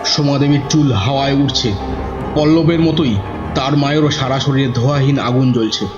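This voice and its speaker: A man's voice: medium pitch, steady tone, brisk, close to an everyday voice. Man as nephew narrating horror, slightly muffled. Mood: tense narration. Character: devoted young nephew narrating his uncle's horror adventure. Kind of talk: dramatic scripted horror narration. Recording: dull lo-fi, music behind.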